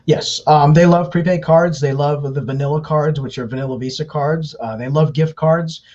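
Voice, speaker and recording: A man's voice: a little high, fairly even intonation, moderate pace, darkish tone. A man speaking as an interview guest, explaining things conversationally at length with fillers and restarts. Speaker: interview guest, scam baiter. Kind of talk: casual interview talk. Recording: dull lo-fi audio.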